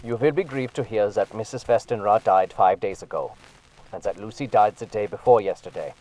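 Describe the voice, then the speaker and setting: A man's voice: fairly low pitch, a little fast, dark tone, close to an everyday voice, rough recording. An actor playing a grave man dictating a sad telegram in an audio drama. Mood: grave, urgent.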